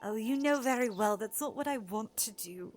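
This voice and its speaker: A woman's voice: on the high side, lively intonation, moderate pace, faint music behind, lightly acted. A woman playing a polite, eager young princess with formal, earnest phrasing in an audio drama. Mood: playful protest. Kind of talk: scripted audio drama acting.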